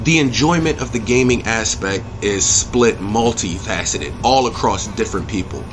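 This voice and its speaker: A man's voice: on the low side, normal speed, over music, low-quality recording. A man hosting an opinionated review rant, speaking in an emphatic, blunt, profane way and repeating phrases for punch. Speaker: video game movie reviewer and channel host.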